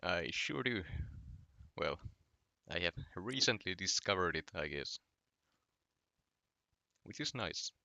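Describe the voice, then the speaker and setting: A man's voice: low-pitched, takes it slowly, creaky, long pauses, no room sound. A young man from Finland with a Finnish accent, chatting casually on a late-night gaming stream while answering his chat. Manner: relaxed, reading chat aloud and answering.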